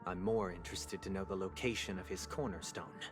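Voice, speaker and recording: An actor playing a suave, calculating family leader in a video game, speaking formally and softly with menacing politeness. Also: male voice, sits low, steady tone, music in the background, natural voice. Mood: wary, musing. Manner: formal, measured, deliberate diction.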